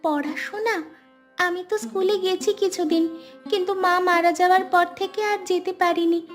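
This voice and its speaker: Very high-pitched, earnest village girl acted by a woman. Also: steady tone, moderate pace, soft background music. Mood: sad, plain. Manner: earnest, polite. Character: poor, brave orphaned village girl, earnest and determined. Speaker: cartoon character voice. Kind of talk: dramatic cartoon dialogue.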